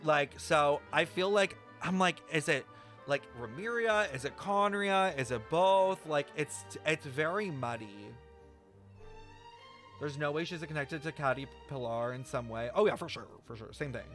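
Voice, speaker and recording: Higher-voiced chatty young-sounding male streamer. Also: lively intonation, a little slow, a bit bright, soft background music. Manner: chatty, slangy, self-deprecating. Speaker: game streamer. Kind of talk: casual live stream chat reacting to a game.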